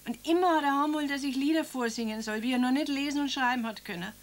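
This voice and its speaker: A woman's voice: high voice, fast, natural voice. An actress playing an elderly grieving mother who reads her son's letter and then talks about him in homely, rambling speech. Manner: plain homely speech with tag questions. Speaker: mother of Karl. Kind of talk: radio drama acting.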